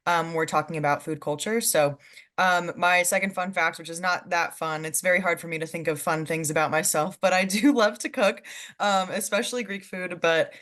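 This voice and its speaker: A woman's voice: lowish voice, steady tone, normal speed, a bit bright. A young woman in her twenties from California, chatting casually as a guest on a video call, with lots of "um"s and easy laughs. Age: 20s. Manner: chatty, many "um"s, laughs easily. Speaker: guest panelist.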